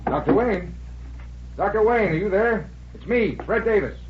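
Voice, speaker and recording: An actor playing a blunt, halting, self-deprecating veteran in a 1940s-style radio soap. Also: male voice, high voice, animated, noisy background. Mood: guarded. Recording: muffled vintage radio drama recording.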